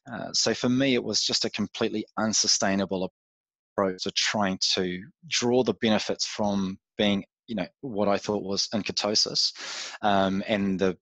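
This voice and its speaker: Reflective New Zealand man, low-voiced. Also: fairly bright tone, no room sound. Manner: reflective, with frequent um and you know fillers. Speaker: podcast guest. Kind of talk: casual interview chat.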